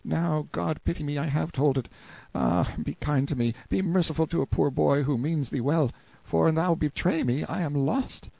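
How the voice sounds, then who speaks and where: A man's voice: fairly high pitch, huge pitch swings, moderate pace, a little mellow, slightly rough, no room sound, pitched above the performer's own voice, heavily acted, poor audio. A man voicing a timid, superstitious medieval page boy with archaic, pleading speech in an audiobook. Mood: fearful confiding. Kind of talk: audiobook character acting.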